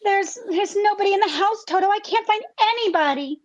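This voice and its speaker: A very high, girlish voice as an anxious, wide-eyed farm girl in a radio play. She is earnest and breathless, stumbling and repeating her words. The recording is dull and muffled. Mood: frightened, breathless. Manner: breathless, halting, repeating phrases. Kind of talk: comedic radio play acting.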